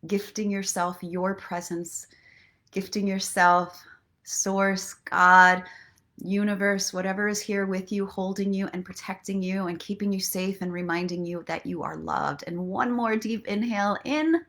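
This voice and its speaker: A woman hosts an informal live stream of inspiration and relaxation. Her voice sits at an average pitch. She talks expressively and encouragingly, with an earnest, playful manner. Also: fairly even intonation, measured pace, fairly bright tone. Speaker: host of a live online story and inspiration show. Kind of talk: casual live chat mixed with guided relaxation and motivational talk.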